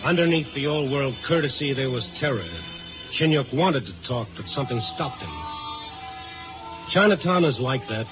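A man's voice: mid-range voice, normal speed, close to an everyday voice. Tinny vintage radio, music behind, male actor as sardonic hard-boiled reporter, terse, dramatic noir delivery. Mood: brooding narration. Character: tough, sardonic newspaper reporter narrating his night investigations. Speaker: lead actor and narrator. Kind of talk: 1950s radio drama acting, noir narration.

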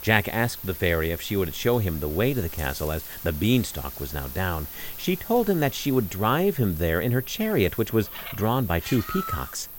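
A man reading a scripted fairy tale for radio as narrator, in a measured, expressive storytelling manner. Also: low-pitched, slight background noise, low-quality recording. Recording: music under the voice.

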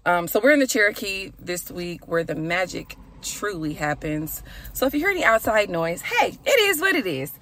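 A woman in her thirties hosting a podcast from her car, chatty and raspy, saying a prayer for her listeners. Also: medium pitch, big pitch swings, moderate pace. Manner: chatty and warm, with "y'all" and "okay". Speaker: podcast host. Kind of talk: casual chat with prayer.

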